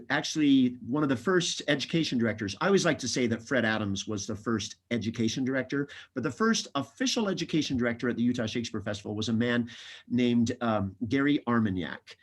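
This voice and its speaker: Halting man co-hosting a seminar. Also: medium pitch. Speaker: education director, co-host. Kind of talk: conversational seminar talk.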